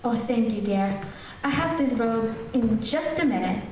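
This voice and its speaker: Woman acting fussy motherly housewife, highish voice, old radio comedy, really echoey. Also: expressive, unhurried, darkish tone. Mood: fussy, chatty. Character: fussy, genteel middle-aged housewife out shopping, kindly and chatty. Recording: very echoey, distant, faint noise.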